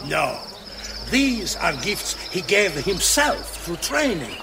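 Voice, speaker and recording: An actor playing a cynical, godless conspirator in priest's robes in a video game, with a scornful, argumentative manner. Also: male voice, high-pitched, sing-song, slow, creaky, background noise, full character voice. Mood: exasperated. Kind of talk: video game cutscene acting.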